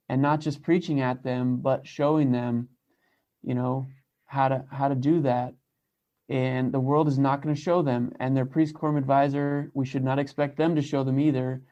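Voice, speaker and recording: A man giving sincere, casual advice as a podcast guest, reflective and measured in how he talks. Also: mid-range voice, warm tone. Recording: slightly dull, lo-fi audio.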